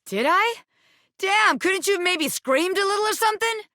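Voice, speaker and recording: A woman's voice: very high voice, expressive, normal speed, bright, forceful tone, no room sound, clean studio sound, exaggerated voice. A woman voicing a cocky, self-important bobby pin who fancies itself a crime boss in a video game, swaggering, slangy and boastful. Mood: indignant. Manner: swaggering, slangy, boastful, peppering talk with pet phrases. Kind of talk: video game character voice acting.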